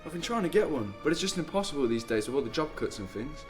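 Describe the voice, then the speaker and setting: A man's voice: a little high, big pitch swings, fast, soft background music. Man playing a casual, hesitant working-class teenager. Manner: informal, hesitant. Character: polite, down-to-earth working-class teenage boy. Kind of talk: radio drama acting.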